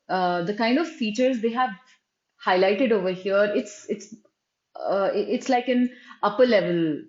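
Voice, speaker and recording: Woman reviewing websites, roomy lo-fi sound. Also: medium pitch. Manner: conversational, frequent "right?" and fillers, restarts. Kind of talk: screen-share walkthrough commentary.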